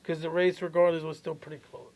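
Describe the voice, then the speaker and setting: A man's voice: on the high side, flat delivery, quick pace, a little mellow, poor audio. A man co-hosting a podcast in casual chat, questioning and arguing his points loosely. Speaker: podcast co-host.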